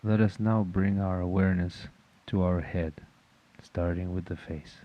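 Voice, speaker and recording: A man leading a guided meditation in a calm, measured, instructional manner. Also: sits low, dull audio.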